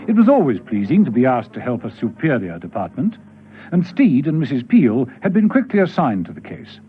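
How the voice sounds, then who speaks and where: A male narrator tells a radio drama from a script in a medium-pitched voice with lively intonation. The old recording is dull, with faint music behind him.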